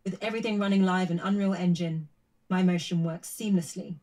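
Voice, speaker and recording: Woman as poised, lowish-voiced female digital human. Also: steady tone, a little mellow, lightly acted. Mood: poised, measured. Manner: measured, formal presenter delivery. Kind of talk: scripted trailer narration.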